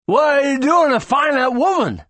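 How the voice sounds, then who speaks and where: A man's voice: very high-pitched, animated, normal speed, a bit bright, dry recording, voice pushed up. A man voicing a big, angry man with a mushy, growling delivery.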